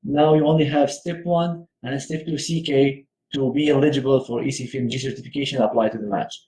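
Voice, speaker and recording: A young man from Syria, a medical research fellow, giving advice in a relaxed talk with many fillers and restarts. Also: medium pitch, a little fast. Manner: hesitant, with frequent fillers and restarts. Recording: echoey room.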